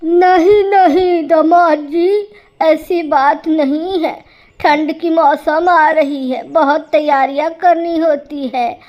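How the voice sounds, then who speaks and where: A woman's voice: high-pitched, steady tone, slow, dull audio. A woman voicing an older mother crow in a cartoon, blunt, weary, firm and fussing. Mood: placating.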